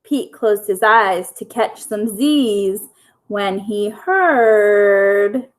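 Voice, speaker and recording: A woman storyteller reading a picture book aloud to young children in simple, deliberate phrases. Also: mid-range voice, expressive.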